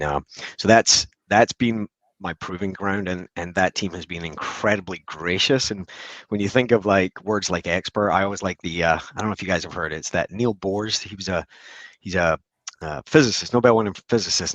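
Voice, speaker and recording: A man's voice: low-pitched, fairly bright tone, dry recording. A Scottish man in his forties, a podcast guest, chatting in an upbeat, rambling way with many restarts. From Scotland. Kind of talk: casual interview chat.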